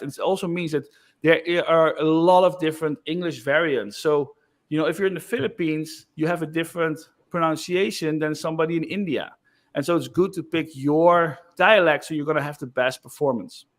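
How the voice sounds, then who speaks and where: A man giving a casual screen-share demo of software, loose and chatty with frequent fillers. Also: on the high side, fairly quick. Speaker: software developer presenting.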